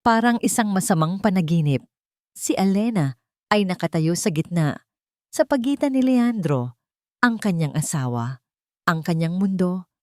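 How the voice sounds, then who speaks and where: A woman narrates a dramatic scripted story. Her voice sits a little low for a woman. The recording is dry and close, without room sound. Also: no room sound.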